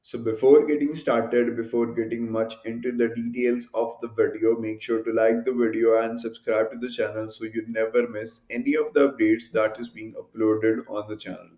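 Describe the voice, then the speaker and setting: A man's voice: medium pitch, flat delivery, dark tone. Man reading aloud in an echoey room. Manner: halting, stumbles over words and corrects himself. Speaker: video channel host.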